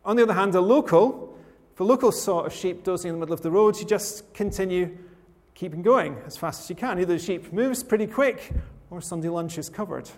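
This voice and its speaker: High-voiced preacher from Scotland, reverberant hall. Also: male voice, brisk. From rural Scotland. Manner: conversational, gently humorous preaching. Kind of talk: sermon.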